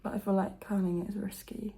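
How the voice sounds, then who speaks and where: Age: teens. Quality: croaky, gravelly, mellow. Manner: soft and nervous. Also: female voice, mid-range voice, level tone, quick pace. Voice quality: croaky, just woken. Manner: soft, half-whispered, nervous chatter. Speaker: vlogger. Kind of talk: casual to-camera vlog. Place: at home indoors.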